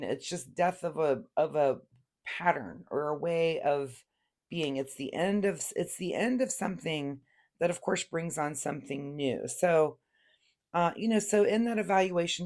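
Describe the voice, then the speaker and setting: A woman giving a casual, chatty tarot reading to camera while recovering from laryngitis. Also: low voice. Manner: chatty, gentle, many fillers and restarts. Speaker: tarot reader.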